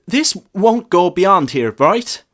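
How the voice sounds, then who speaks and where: A man's voice: sits high, sing-song, takes it slowly, fairly bright tone, voice pushed up, exaggerated voice. A man voicing a nervous, guilty young male parishioner in a story, stammering, earnest and panicky. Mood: anxious. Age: young adult. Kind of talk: short story character reading.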